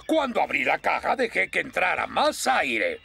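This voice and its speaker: A man's voice: fairly high pitch, huge pitch swings, moderate pace, creaky, bright, forceful tone, slight background noise, heavily acted. A man voicing a bossy, blustery older fire chief in a dubbed children's cartoon, pompous and exclamatory. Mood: commanding.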